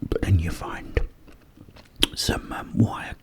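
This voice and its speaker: A man whispering up close in an unhurried ASMR ramble, with breathy delivery and hesitant pauses. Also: fairly bright tone. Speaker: ASMR host. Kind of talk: ASMR whispered ramble about a video game. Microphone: close-up microphone.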